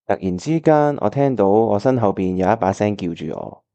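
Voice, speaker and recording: A man's voice: fairly low pitch, animated, normal speed, warm tone, no room sound, muffled recording, faint music behind. A man playing an earnest, reflective bachelor in a Cantonese radio drama, with a Hong Kong accent. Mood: wistful. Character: earnest, reflective bachelor looking back on old love.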